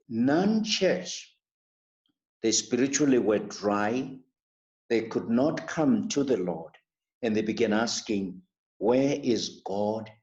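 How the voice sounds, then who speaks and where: Slow, deliberate man on a muffled call. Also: lowish voice, darkish tone. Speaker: panellist. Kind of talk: webinar talk. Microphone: video call. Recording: muffled video call audio.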